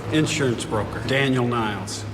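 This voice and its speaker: A man's voice: mid-range voice, normal speed, over music, lightly acted. A man playing an easygoing, laconic police interrogator in an audio drama. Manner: laconic, relaxed. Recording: reverberant hall with clear noise.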